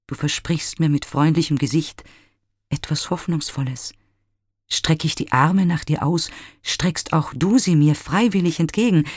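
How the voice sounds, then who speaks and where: A man voicing a lovesick young man who despairs over his own reflection, speaking in an intimate, mournful, pleading way with breathy whispers. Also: medium pitch, darkish tone, no room sound, studio quality. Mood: yearning.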